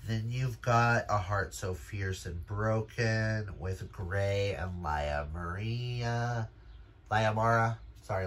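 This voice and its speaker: A man's voice: medium pitch, pushed and bright. A man chatting casually as a book vlogger, in a gushing, emphatic and exclamatory manner. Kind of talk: casual chat to camera.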